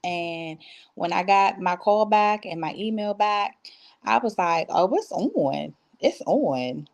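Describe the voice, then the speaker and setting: A woman's voice: fairly low pitch, lively intonation, slow pace, darkish tone, muffled recording. A woman giving casual, conversational interview answers as a stage actress, telling stories by acting out what people said.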